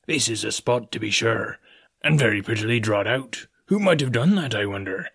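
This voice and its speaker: A man's voice: medium pitch, expressive, moderate pace, fairly bright tone, husky. A man voicing a genial, sly old one-legged pirate sailor in a storybook, with folksy nautical talk and an ingratiating manner. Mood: deferential, sly.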